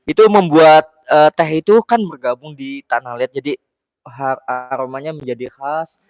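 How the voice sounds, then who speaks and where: A young man from Tegal answering interview questions calmly, in plain explanations. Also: fairly high pitch, normal speed, clean tone, no echo. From Tegal, Central Java. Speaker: guest.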